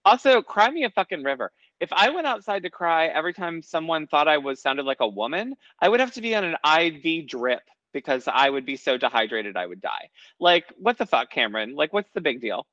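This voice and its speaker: A man's voice: a little high, big pitch swings, brisk, sharp tone, no echo, muffled recording. A man co-hosting a casual recap chat, blunt and swearing freely as he tells stories. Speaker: podcast co-host.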